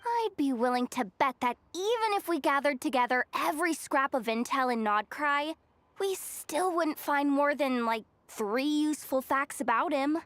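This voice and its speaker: Young game heroine, high-pitched, skeptical, casual, close dry recording. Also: female voice, expressive, normal speed, a bit bright, no echo. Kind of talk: anime game voice acting.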